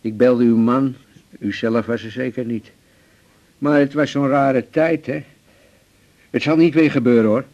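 Earnest apologetic man, played by an actor, medium pitch, radio-play acting, slightly dull 1980s recording. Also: fairly even intonation, measured pace, long pauses, darkish tone, natural voice. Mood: contrite, earnest. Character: apologetic, earnest male patient visiting his doctor.

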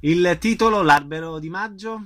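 A man's voice: high voice, moderate pace, fairly bright tone. A man working as a radio interviewer, asking informal questions and announcing event details.

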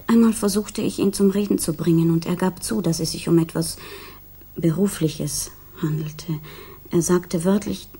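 An actress playing a grief-stricken, loyal young fiancée, soft, breathy and subdued, in a 1960s German radio crime drama. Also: female voice, lowish voice, fairly even intonation, normal speed. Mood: troubled, subdued. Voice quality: soft, breathy, often near whisper. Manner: hesitant, subdued.

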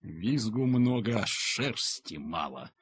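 An actor playing a middle-aged, grumbling farm director who mutters wryly in an audio play. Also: male voice, on the low side, lively intonation, takes it slowly, slightly rough, no room sound, studio quality, heavily acted. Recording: slightly muffled old cassette transfer.

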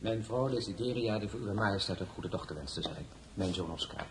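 An actor in a radio drama playing an affectionate, courtly crown prince with a coaxing, formal manner. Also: male voice, on the low side, fairly quick, slight background noise, lightly acted. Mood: formal, deferential.

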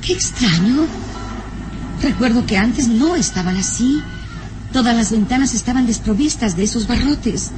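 A woman acting a troubled young noblewoman in an old radio serial. The voice is high, halting and melodramatic, full of fearful wonder. Music plays beneath. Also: lively intonation, natural voice. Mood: puzzled. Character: frightened, puzzled young noblewoman alone in a castle.

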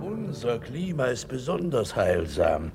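A man's voice: medium pitch, very animated, measured pace, a little mellow, soft background music. An actor playing an obsequious, scheming male landlord in a 1950s radio play, gushing and conspiratorial.

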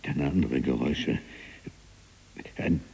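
An actor playing a learned, fearful prisoner in a brooding radio drama monologue, his husky, breathy voice halting and given to whispers. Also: extremely low pitch, darkish tone, a little hiss or hum, heavily acted, low-quality recording. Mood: fearful, hushed.

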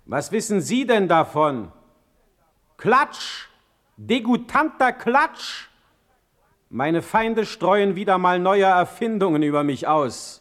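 Proud irritable poet, high voice, swooping intonation, sarcastic, theatrical, radio drama. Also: male voice, very animated, takes it slowly, a bit bright, exaggerated voice. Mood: indignant. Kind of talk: German radio play acting.

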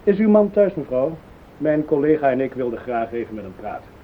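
An actor playing a courteous, official police detective in a radio play. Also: male voice, big pitch swings, a little fast, natural voice. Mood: formal. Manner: official, polite. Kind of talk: Dutch radio play acting. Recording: muffled phone-like old radio recording, rumbling hiss.

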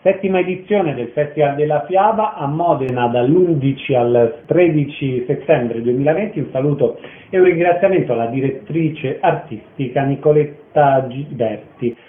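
A man's voice: on the high side, moderate pace, phone-quality sound. Male radio interviewer, thin echoey sound.

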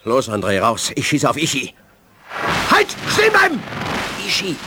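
An actor playing an urgent, forceful agent in a radio play action scene. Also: male voice, medium pitch, wildly swooping pitch, normal speed, slight background noise, exaggerated voice. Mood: urgent shouting. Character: urgent male agent in a staged chase.

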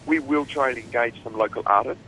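A man's voice: mid-range voice, fairly quick, pushed and bright, slight background noise, rough recording. A man with an Australian accent, a guest producer talking casually in an interview, rambling with many restarts and fillers.

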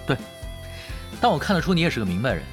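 A man's voice: medium pitch, very animated, fast talker, close to an everyday voice. A man acting in a Mandarin audio drama as an easygoing, confident esports team leader, wry and plain-spoken. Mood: calm, assured. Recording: clear music under the voice.

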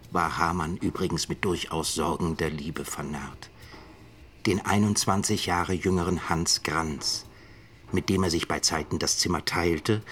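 An actor playing an earnest, articulate professor with a measured, ironic manner in a German radio drama. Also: male voice, low voice, fairly bright tone, a little hiss or hum. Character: earnest male professor, narrating and pleading for mercy.

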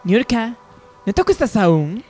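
A woman playing a caring, cautious mother who scolds gently, in a radio soap opera. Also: fairly high pitch, big pitch swings, a little slow, soft background music. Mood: tender.

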